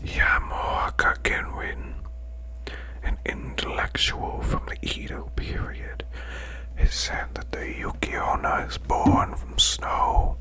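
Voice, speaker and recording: Guy doing a demon boss asmr bedtime story, slow growly whisper, hushed and soothing. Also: rough recording. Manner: slow, hushed, deliberate recitation.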